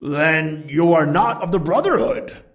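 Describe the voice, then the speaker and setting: A man's voice: a little high, animated, measured pace. A man voicing a proud, drunken nobleman, boastful and dismissive, in a gothic horror story. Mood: scornful. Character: proud, drunken, coughing nobleman, scornful and stubborn.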